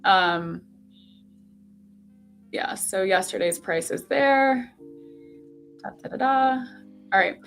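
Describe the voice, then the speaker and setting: A young woman hosting a live trading stream, talking casually and rambling with lots of fillers while she works on screen. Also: fairly low pitch. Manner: chatty, rambling with many fillers. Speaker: trading livestream host.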